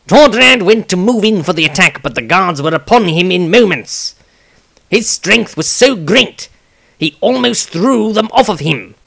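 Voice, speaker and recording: A man's voice: sits high, vocal fry, sharp tone, heavily acted. A man voicing an earnest, aggrieved adventurer, reading his diary as scripted fantasy narration.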